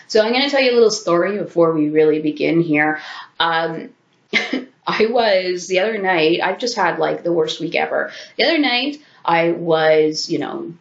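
A woman in her thirties, sick with a sore throat and congestion, chatting frankly to her webcam at home, swearing freely and laughing between asides. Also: lowish voice. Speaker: vlogger. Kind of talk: casual talking-head commentary. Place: small room at home, indoors. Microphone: webcam at desk distance. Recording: echoey room, dull lo-fi webcam sound.

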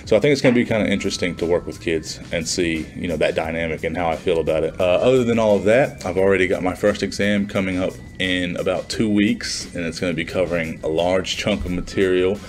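A man in his twenties with a low-pitched voice talks in a chatty, informal way. He sounds like a student recording a casual vlog in a small room, with music softly present. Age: 20s. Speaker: nursing student vlogger. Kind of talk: casual vlog talk to camera. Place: small plain indoor room.

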